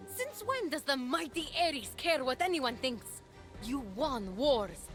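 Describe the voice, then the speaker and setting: An actress voicing a plucky, cheeky young mortal heroine, eager, coaxing and teasing, in a video game cutscene. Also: female voice, high-pitched, very animated, slow pace, a bit bright, soft background music, heavily acted. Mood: incredulous, urging.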